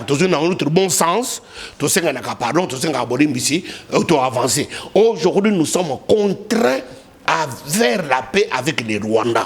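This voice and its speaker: A man with a Congolese accent arguing politics in a heated debate, emphatically repeating his phrases. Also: fairly high pitch. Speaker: political commentator being interviewed. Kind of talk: heated political debate, mixing two languages.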